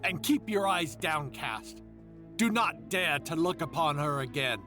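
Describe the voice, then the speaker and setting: A man's voice: on the high side, animated, unhurried. An actor playing a domineering, possessive older man who has just bought a prized captive. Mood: angry. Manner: commanding, possessive. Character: possessive older male collector, domineering and cold. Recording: music bed behind.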